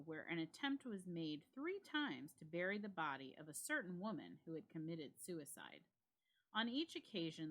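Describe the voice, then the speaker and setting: A woman storyteller giving a suspenseful, expressive dramatic reading of a ghost story with deliberate phrasing. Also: low voice, rough recording. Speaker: storyteller, library reader.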